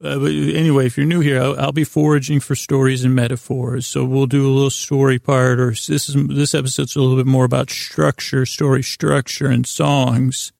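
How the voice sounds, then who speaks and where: Guy w really gravelly creaky voice, dry close sound, rambling sleepy-time podcast host, keeps losing his thread. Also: mid-range voice, level tone, a little mellow, no echo. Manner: meandering, halting, digressive, self-deprecating; acts out imagined back-and-forth dialogue in his own voice. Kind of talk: rambling improvised bedtime podcast monologue.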